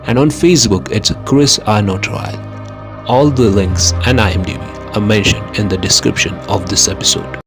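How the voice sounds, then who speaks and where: A man's voice: fairly low pitch, normal speed. A man hosting a podcast, reading scripted outro credits and appeals to listeners. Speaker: podcast host. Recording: clear music bed behind voice.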